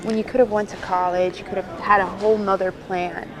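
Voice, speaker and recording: A woman's voice: medium pitch, flat delivery, brisk, a crowd in the background, poor audio. A young woman hosting a youth show, asking her guests upbeat, curious questions. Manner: upbeat and inquisitive. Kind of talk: interviewing guests.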